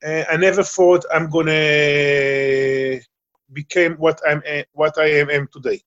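Halting Israeli man on a muffled line. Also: a little high, level tone, fairly bright tone. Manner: halting, searching for English words, frequent fillers. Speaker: guest. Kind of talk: remote interview conversation.

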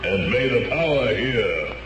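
A man's voice: medium pitch, lively intonation, measured pace, natural voice. An actor in a 1970s radio drama playing a charismatic, solemn faith healer who makes grandiose pronouncements to his followers. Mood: solemn, exalted. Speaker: cult preacher. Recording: echoey hall, music behind.

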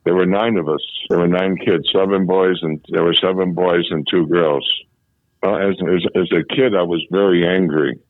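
Older man, seventies, very low voice, halting storyteller, dry phone-line sound. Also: fairly even intonation, no echo. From Chicago, USA. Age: 70s. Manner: halting, repeats and restarts phrases. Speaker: interviewee, former policeman. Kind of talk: reminiscing in a phone interview. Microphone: telephone.

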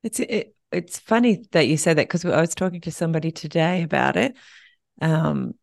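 Aussie lady hosting a chat podcast, really low voice with lots of fry. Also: lively intonation, normal speed, a little mellow, no room sound, clean studio sound. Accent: Australian. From Tasmania. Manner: chatty, laughs often. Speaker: podcast host. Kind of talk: casual interview chat.

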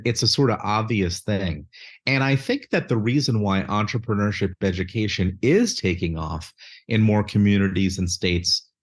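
A man's voice: lowish voice, breathy edge. A man answering interview questions, articulate and methodical, listing points with a few fillers. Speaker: guest, education nonprofit chief executive.

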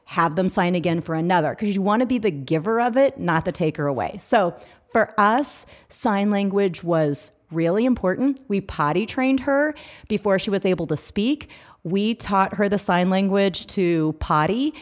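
A woman's voice: medium pitch, lively intonation, moderate pace. A middle-aged woman hosting a podcast, talking casually and earnestly as a parent giving practical advice. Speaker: podcast host.

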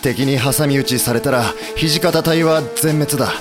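A man acting as an idealistic samurai commander-in-chief in a period audio drama, earnest, gentle and resolute. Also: medium pitch, a little slow, music in the background, close to an everyday voice. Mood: grave, resolute.

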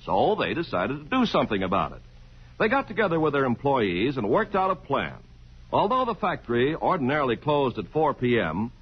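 An American man announces in old-time radio style. His delivery is earnest and extremely animated, on a fairly high, slightly husky voice. The broadcast sounds muffled. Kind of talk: 1950s scripted radio announcing.

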